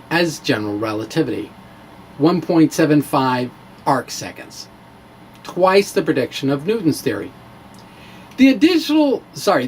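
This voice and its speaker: A man giving a theatrical, emphatic dramatic reading of a science blog, with deliberate pauses. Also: fairly high pitch, slight background noise. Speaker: science blogger and presenter.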